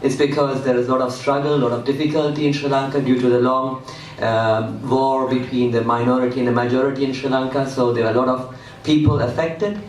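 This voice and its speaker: A young man from Germany giving a personal presentation talk, halting with many fillers. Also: mid-range voice, flat delivery, a little hiss or hum. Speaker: speaker at a PechaKucha night. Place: event hall. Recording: echoey hall, mic from a distance.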